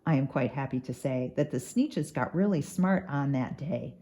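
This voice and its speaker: A woman giving an expressive, rhythmic and dramatic narration of a children's storybook. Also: a bass voice, moderate pace. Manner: rhythmic, dramatic read-aloud. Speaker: doctor reading a children's book.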